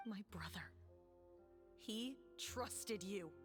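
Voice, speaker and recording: A woman's voice: medium pitch, extremely slow. Bitter, grieving young avenger woman, music behind. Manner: intense, accusing, dramatic. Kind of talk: scripted video game voice acting.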